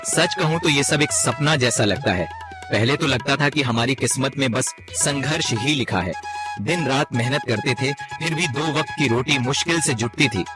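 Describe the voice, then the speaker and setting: A man's voice: medium pitch, wildly swooping pitch, normal speed, over music. A man playing a village husband in a cartoon moral story. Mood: grateful.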